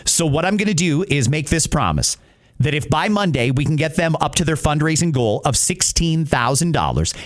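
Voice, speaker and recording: Middle-aged man, slightly high pitch, podcast host, casual monologue, direct conversational phrasing. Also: lively intonation, moderate pace. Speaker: podcast host and radio personality.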